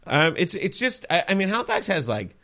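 Lively-voiced man with a faint Newfoundland lilt. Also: high-pitched, brisk. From Newfoundland, Canada. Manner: deadpan dark joking, bursts of laughter. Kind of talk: casual chat.

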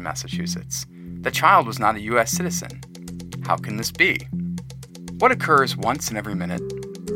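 An actor playing a theatrical, teasing reader of a book of riddles, delivering each one deliberately. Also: male voice, fairly low pitch. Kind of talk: dramatic reading of riddles.

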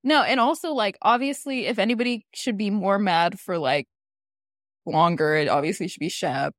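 A woman's voice: medium pitch, normal speed, dry recording. Chatty young woman gossiping, dry sound. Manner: animated gossipy rambling with many "like"s. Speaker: podcast co-host. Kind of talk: casual chat.

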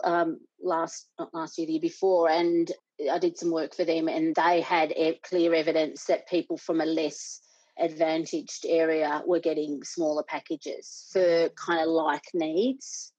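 A woman's voice: low voice, a little mellow, no echo, low-quality recording. A middle-aged Australian woman, a parent and board member, speaking earnestly in an interview with many restarts. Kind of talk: conversational interview.

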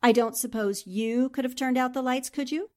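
A woman's voice: high voice, normal speed, natural voice. A woman playing a determined, shrewd newspaperwoman sleuth, questioning formally in a 1940s-style radio drama. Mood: probing.

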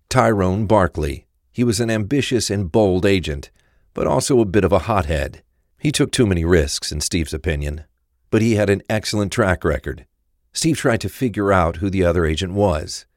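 A man's voice: sits low, dry recording, clean studio sound. A man narrating a crime thriller audio drama from a script.

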